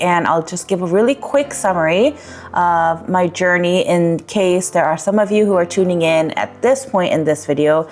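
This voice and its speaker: A young woman giving a candid personal vlog update, rambling a little with fillers, her voice slightly raspy. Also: fairly low pitch, darkish tone. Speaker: vlogger.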